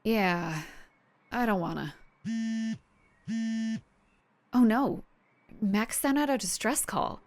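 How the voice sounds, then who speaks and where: A woman playing an earnest, excitable young superhero fan in an audio drama. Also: medium pitch, unhurried. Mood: shaken.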